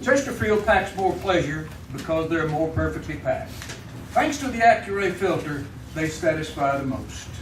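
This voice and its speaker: A man's voice: on the high side, lively intonation, moderate pace, slight background noise. A man with an American accent as a dramatic, declamatory announcer of 1950s radio. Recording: echoey, distant old radio recording. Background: loud music.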